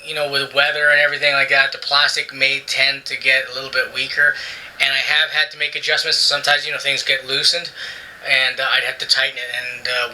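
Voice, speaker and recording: A man's voice: medium pitch, bright, forceful tone, a little hiss or hum, low-quality recording. A man casually reviewing his motorcycle helmet headset to camera from a hot indoor room, rambling with lots of fillers and restarts. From Canada. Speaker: motorcycle vlogger.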